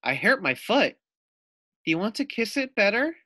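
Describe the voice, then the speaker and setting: Man voicing an eager little girl baboon, high-pitched. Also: big pitch swings, moderate pace, sharp tone, heavily acted. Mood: eager, curious. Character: little young female baboon, eager and curious.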